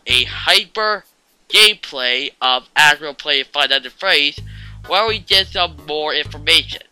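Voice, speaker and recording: A man's voice: fairly high pitch, pushed and bright, poor audio. A man hosting an online video, giving casual fan-theory commentary in a jokey, sweary way.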